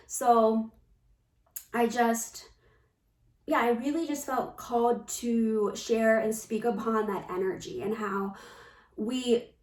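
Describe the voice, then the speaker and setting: A woman with a fairly high voice speaks very slowly, gently and earnestly, pausing within phrases as she shares spiritual guidance. The recording is roomy and echoey, with her some way from the mic. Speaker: spiritual coach. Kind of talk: reflective spiritual talk to camera. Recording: roomy echo, distant from the mic.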